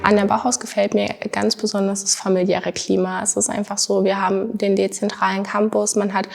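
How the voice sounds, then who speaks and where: A woman in her twenties from Thuringia, a master's student giving earnest interview answers, often pausing on fillers and repeating words for stress. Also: mid-range voice, level tone, vocal fry, soft background music. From Thuringia, Germany. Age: 20s.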